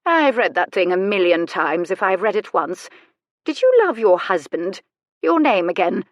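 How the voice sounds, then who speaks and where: A woman voicing an elderly aristocratic lady, sharp-tongued, theatrical and mischievous, in a storybook reading. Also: medium pitch, sing-song, moderate pace, dry recording, clean studio sound, lightly acted. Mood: probing, teasing. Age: older. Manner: haughty, theatrical, dryly teasing.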